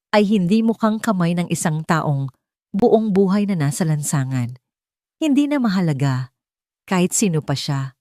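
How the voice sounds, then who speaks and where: Mellow-voiced woman narrating a drama. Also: lowish voice, dry recording, clean studio sound. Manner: measured, dramatic storytelling.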